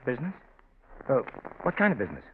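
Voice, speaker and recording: An actor playing an earnest, struggling young lawyer, polite and eager but halting, in 1940s radio drama style. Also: male voice, medium pitch, wildly swooping pitch. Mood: eager, puzzled. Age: young adult. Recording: tinny, noisy 1940s radio recording.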